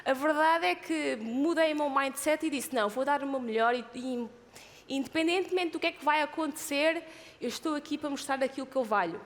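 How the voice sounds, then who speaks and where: A woman in her thirties from Portugal with a European Portuguese accent, giving an earnest motivational talk about her life as an athlete, pausing and restarting often. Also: high-pitched, in a large hall. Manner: earnest, with frequent hesitations and restarts.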